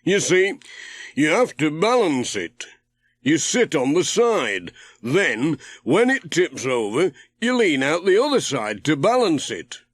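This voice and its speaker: A man voicing a brisk, confident, hearty amateur sailor who gives bossy instructions in a children's audiobook. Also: fairly high pitch, big pitch swings, no echo, voice pushed up, exaggerated voice. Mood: instructing. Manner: confident, instructing, calling out loudly.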